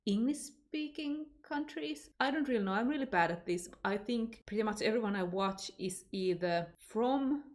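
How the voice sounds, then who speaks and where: A woman's voice: on the high side, lively intonation, normal speed, hardly any pauses. A woman from Finland with a Finnish accent, talking casually in a book vlog and answering tag questions with loose fillers and restarts. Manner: chatty, unscripted, with fillers and restarts. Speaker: book vlogger.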